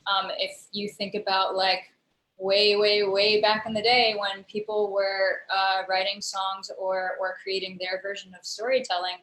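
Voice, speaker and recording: A woman talks through her ideas in a thoughtful, rambling way, with plenty of ums and restarts. Her voice sits at an ordinary middle pitch. She comes through a video call from a roomy, echoey space. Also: fairly bright tone. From United States. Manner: thoughtful, explains at length with many fillers. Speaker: guest, digital storyteller and musician. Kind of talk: casual interview conversation.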